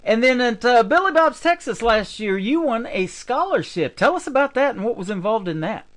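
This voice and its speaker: A man's voice: extremely high pitch, sing-song, normal speed, a bit bright. A man hosting a radio interview, asking questions in an easygoing, chatty way. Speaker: radio host. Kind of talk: casual interview chat.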